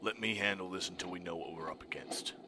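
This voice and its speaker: A man plays a grim, weary crime fighter in a superhero fan dub. His voice is deep, tense and whispery, and he speaks in terse, brooding phrases. There is slight background noise. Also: quick pace, sharp tone. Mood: stern. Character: grim, weary, commanding masked male vigilante.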